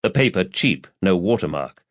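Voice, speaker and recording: A man's voice: deep voice, very animated, moderate pace, no echo, dull audio. A man reading a detective thriller as an audiobook narrator, in scripted, dramatised narration. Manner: dramatised reading with character voices.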